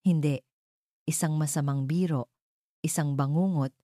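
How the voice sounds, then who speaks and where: A woman's voice: low-pitched, fairly even intonation, unhurried, mellow, no room sound, clean studio sound. A woman narrator reading a scripted romantic drama in a storytelling style.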